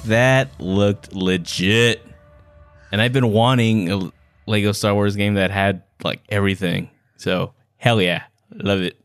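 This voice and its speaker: A man's voice: fairly low pitch, fairly even intonation, a little slow, smooth, dry recording, soft background music. A man co-hosting a podcast, chatting casually in a hesitant but playful way. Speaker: podcast co-host. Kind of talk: casual chat.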